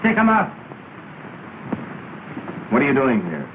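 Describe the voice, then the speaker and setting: An actor playing a menacing, commanding crook who gives curt, sneering orders in an early talkie film. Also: male voice, mid-range voice, wildly swooping pitch, a little slow. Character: menacing, commanding male crook holding someone up. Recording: scratchy, noisy, tinny 1930s film soundtrack.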